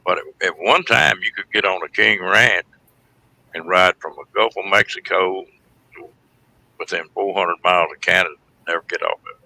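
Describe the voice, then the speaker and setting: Texan man, folksy storyteller, gravelly with a forceful, very bright edge. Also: low-pitched, moderate pace, poor audio. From Texas, United States. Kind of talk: casual group chat, storytelling.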